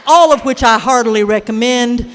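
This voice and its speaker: A woman speaker addressing a gathering in a fast, witty, rousing way. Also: on the high side, long reverb tail, a little hiss or hum.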